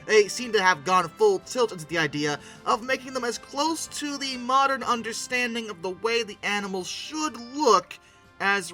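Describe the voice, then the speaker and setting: A man's voice: high voice, sharp tone. A man hosting a conversational video essay with playful, theatrical emphasis. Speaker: video host, game reviewer.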